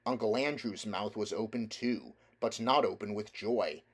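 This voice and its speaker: A man reading a children's novel aloud as a narrator, in a measured, deliberate storytelling manner. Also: fairly low pitch. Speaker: audiobook reader.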